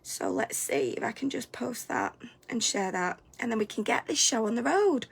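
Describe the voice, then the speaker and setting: A woman, hosting a live stream, a little hoarse and congested from a cold, chatty and upbeat, sometimes stumbling over her words. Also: a little high, very animated, normal speed. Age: 40s. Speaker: host of a weekly live stream. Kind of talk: live stream chat to viewers.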